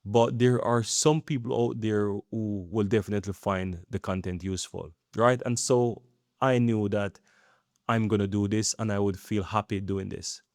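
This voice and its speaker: A man's voice: on the low side, steady tone, moderate pace, a little mellow, no room sound, clean studio sound. A man in his thirties talking earnestly in a rambling vlog, often checking in with "right?". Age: 30s. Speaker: YouTube creator. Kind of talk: casual talking-head vlog.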